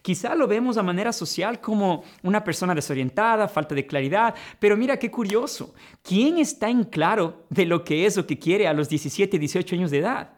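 Man, high voice, reflective host, coaching tone, keeps saying mira. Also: lively intonation, fairly quick. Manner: reflective, addresses guest as brother, frequent "mira". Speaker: podcast host and life coach. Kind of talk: conversational interview.

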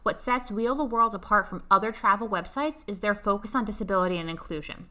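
Bright-voiced upbeat woman host. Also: medium pitch, quick pace. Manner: upbeat, polished delivery. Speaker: podcast host. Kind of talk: scripted podcast intro and interview.

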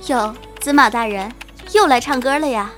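A woman's voice: fairly high pitch, moderate pace, faint music behind, lightly acted. A woman playing a teasing young chatroom listener in an online radio drama.

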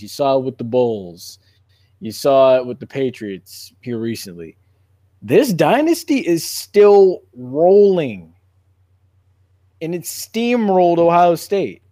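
Mellow male sports podcast host, dry sound. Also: medium pitch, no room sound. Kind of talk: animated casual sports debate.

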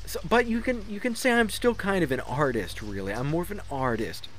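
An actor playing a conceited, defensive hack author in a comedic audio drama, pompous and blustering. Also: male voice, fairly high pitch, very animated, brisk, fairly bright tone. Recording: noisy amateur mix, faint hiss.

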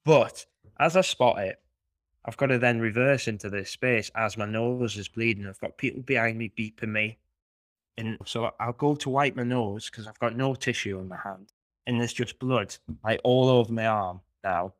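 A man's voice: mid-range voice, moderate pace, no echo, clean studio sound. A man co-hosting a podcast, telling a long rambling story in a casual chat. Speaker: podcast co-host.

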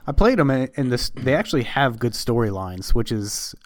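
Lively-pitched man chatting, dry sound. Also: moderate pace, no room sound. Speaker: podcast guest. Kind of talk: casual chat about video games.

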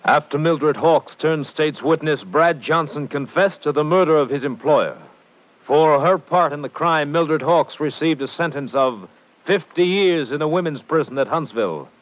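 A man's voice: on the high side, normal speed. A man giving formal, solemn scripted narration in 1950s radio style. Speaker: narrator, announcer. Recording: lo-fi 1950 radio recording, faint crackle.

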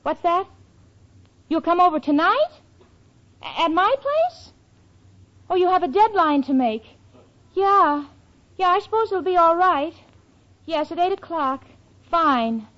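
A woman's voice: extremely high pitch, very animated, unhurried, long pauses, fairly bright tone, exaggerated voice. An actress playing a sensible, eager young city woman with a wry streak in a 1940s radio comedy. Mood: flustered, polite. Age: young adult. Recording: dull vintage radio recording.

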